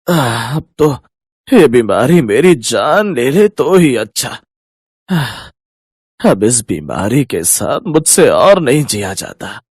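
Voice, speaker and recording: A man voicing a sick, weary old father in an animated moral story, stubborn but loving, given to groaning. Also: mid-range voice, extremely slow, breathy edge, no echo, clean studio sound, heavily acted. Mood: suffering.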